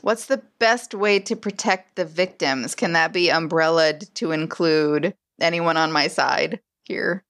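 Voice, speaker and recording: A woman's voice: low-pitched, measured pace, few pauses, fairly bright tone. A woman playing a tabletop game, chatty and self-deprecating, often laughing. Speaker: player.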